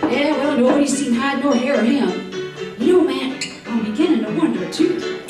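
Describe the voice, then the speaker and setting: A man's voice: very high-pitched, moderate pace, loud music behind the voice. A man playing a gossipy, teasing frontier doctor with a chuckling, drawling manner in an old-time western radio drama. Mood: curious. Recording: distant, very echoey stage-like sound.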